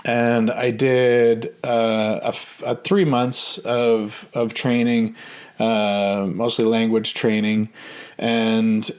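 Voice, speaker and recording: A man's voice: mid-range voice, little pitch movement, very slow, darkish tone, smooth. Hesitant man telling his story. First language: English. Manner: hesitant, many ums and restarts. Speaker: vlogger. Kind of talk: casual personal storytelling.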